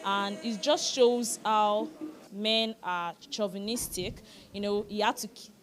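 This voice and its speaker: A woman's voice: mid-range voice, steady tone, takes it slowly, faint music behind. A woman with a Nigerian accent, an actress talking conversationally and with opinions in an interview. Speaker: stage actress.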